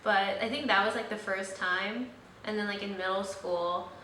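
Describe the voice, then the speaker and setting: A woman's voice: mid-range voice, steady tone, moderate pace, pushed and bright. A young woman hosting her vlog, chatting casually and rambling with lots of fillers. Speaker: vlogger host. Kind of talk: casual chat. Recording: echoey room, slightly distant mic, faint noise.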